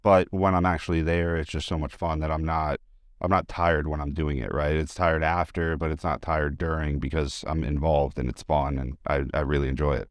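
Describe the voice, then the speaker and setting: A man with an extremely deep, clean, resonant voice talks as a guest in a relaxed interview. He is informal and chatty, sharing personal anecdotes with enthusiasm. The sound is dry, with hardly any room to it. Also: normal speed, dry recording. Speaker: interview guest, high school teacher and sports announcer. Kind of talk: casual conversational interview, anecdotes.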